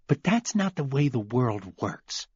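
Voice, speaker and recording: A man's voice: medium pitch, big pitch swings, measured pace, slightly rough, dry recording, lo-fi sound, voice pushed up. A man voicing a bitter, belligerent rich man who grumbles and sneers, in an audiobook. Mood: cold. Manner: sneering and grumbling, then shouting.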